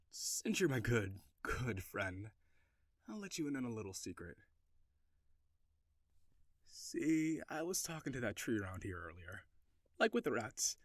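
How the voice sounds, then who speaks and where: A man playing a chatty, animated scheming elf druid. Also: mid-range voice, no room sound, studio quality. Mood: coaxing, then confiding. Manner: rambling, eager, coaxing, self-correcting. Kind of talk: audio roleplay acting, addressing the listener as companion.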